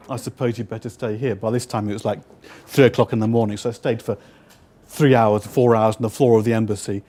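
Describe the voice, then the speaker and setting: A man's voice: medium pitch, a little mellow. A British man in his fifties, a guest speaker and interpreter, telling a personal story to a live audience with dry, wry humor. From United Kingdom. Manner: conversational, acts out quoted exchanges.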